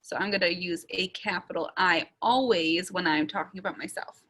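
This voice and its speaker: A young woman teacher recording a writing lesson for small children, sounding out each word slowly and stretching the letter sounds. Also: on the low side, pushed and bright.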